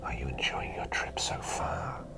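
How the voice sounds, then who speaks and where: A man playing a gentle, doting plague doctor in a soothing whispered ASMR roleplay, attentive and softly playful. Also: measured pace, natural voice. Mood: tender, reassuring.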